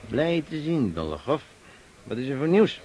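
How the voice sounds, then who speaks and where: An actor playing a gruff, shrewd Russian partisan officer in a radio drama, commanding and emphatic. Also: male voice, on the high side, big pitch swings, moderate pace, darkish tone. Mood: brisk, scheming. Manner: commanding, emphatic, grumbling oaths. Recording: noisy old radio play recording.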